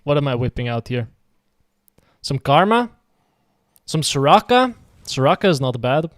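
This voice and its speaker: A man's voice: medium pitch, very animated, measured pace, no room sound, clean studio sound. A man from Denmark chatting casually while streaming a game, rambling and answering his viewers' messages. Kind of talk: casual live game commentary.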